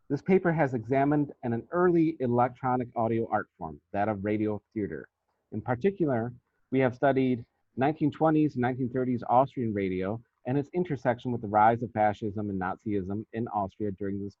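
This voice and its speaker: A man reading out a scripted academic paper as a researcher, in a formal, measured and deliberate manner. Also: mid-range voice, no echo. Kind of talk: scripted academic presentation, read aloud. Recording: thin, phone-like band-limited sound.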